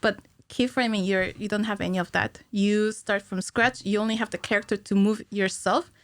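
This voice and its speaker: Woman explaining her craft, halting delivery. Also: medium pitch, steady tone. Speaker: guest, cinematic animator. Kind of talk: casual podcast interview, explaining technical work. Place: small curtained podcast studio, indoors. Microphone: boom-arm studio mic with headphones.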